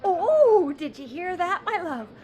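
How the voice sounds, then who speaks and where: A woman voicing a gushing, excitable elderly lady fan, fluttery and effusive, in broad radio comedy style. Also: extremely high pitch, a little slow, full character voice. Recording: crowd chatter behind.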